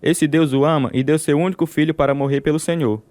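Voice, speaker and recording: An actor with a Brazilian accent playing a devout, steadfast prisoner who tells his story in measured, earnest radio drama style. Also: fairly high pitch, steady tone, lightly acted. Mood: firm, devout. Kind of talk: dramatized first-person narration, radio drama.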